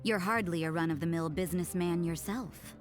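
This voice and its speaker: A woman voicing an aloof, sardonic church sister in a video game dub, cool and flat. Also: lowish voice, brisk, music in the background, lightly acted.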